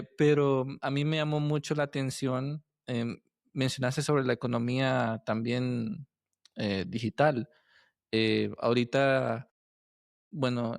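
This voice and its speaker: Male podcast host, conversational and a little meandering, gravelly fry in the voice, close dry sound. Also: mid-range voice, dry recording. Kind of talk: casual interview questions.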